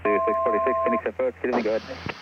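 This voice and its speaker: A man's voice: medium pitch, fairly even intonation, very fast. A man working as an approach controller, giving clipped instructions over aircraft radio. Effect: aircraft radio transmission. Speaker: approach air traffic controller. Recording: noisy, muffled aviation radio.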